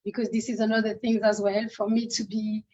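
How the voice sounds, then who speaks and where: A woman with a French accent and a husky voice introduces herself in an online meeting. She speaks hesitantly, with many pauses and fillers. The audio is dull and muffled, as over a video call. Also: medium pitch, level tone, warm tone. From France. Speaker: Zumba instructor.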